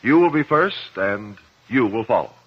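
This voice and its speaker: A muffled old radio drama recording of a composed male investigator. He has a slightly rough voice and an American accent, and he questions formally and precisely, weighing each phrase. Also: mid-range voice, animated, normal speed. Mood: calm, probing. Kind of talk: 1950s radio drama acting.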